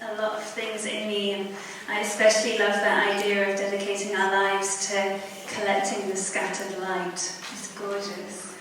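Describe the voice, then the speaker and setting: A woman moderating an event, introducing a panel of speakers in a measured way. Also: medium pitch, monotone, crowd noise. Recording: echoey hall from far off, faint noise.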